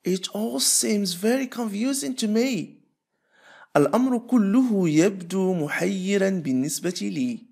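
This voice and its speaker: A man leads an English drill in a somewhat high voice. He stretches each phrase out word by word, then speeds through quick explanations. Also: gravelly, darkish tone, husky. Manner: repeats phrases slowly, stretching words apart; explains rapidly between drills. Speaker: English teacher. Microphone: voice-over.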